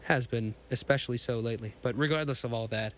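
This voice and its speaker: A hoarse man with a cold, hosting a podcast and reading a pulp science fiction story aloud, often stumbling and restarting lines. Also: mid-range voice, fast talker, fairly bright tone, a little hiss or hum.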